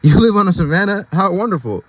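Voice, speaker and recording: A man's voice: sits high, animated, fast, fairly bright tone, pitched above the performer's own voice, poor audio. A man voicing a restless, dreamy male donkey in a children's storybook, casual and yearning.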